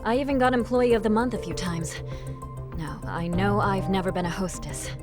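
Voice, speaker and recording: Woman acting a tough young hostess in a game, blunt and sure of herself, mid voice, music loud behind. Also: medium pitch, normal speed, natural voice. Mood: confident. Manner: assertive, blunt, self-assured. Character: headstrong, tough young female hostess protecting her sister. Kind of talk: dramatic video game cutscene acting.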